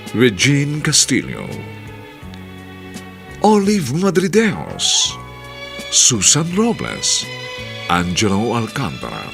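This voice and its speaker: A Filipino man announces a radio drama with theatrical flair. His slightly low, gravelly voice swoops dramatically in pitch. The scripted narration rides over music.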